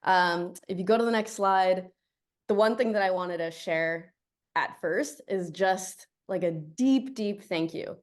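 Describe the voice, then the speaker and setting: A woman's voice: fairly low pitch, measured pace. Upbeat young woman on a video call. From Colombia. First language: Spanish. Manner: upbeat, chatty, laughs often. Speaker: guest speaker. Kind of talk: casual presentation over video call.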